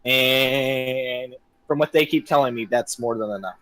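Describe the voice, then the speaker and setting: A man's voice: medium pitch, measured pace, a bit bright, no echo. A young man hosting a casual comedy podcast, rambling and joking at his own expense. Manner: goofy, rambling, self-deprecating jokes. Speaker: podcast host.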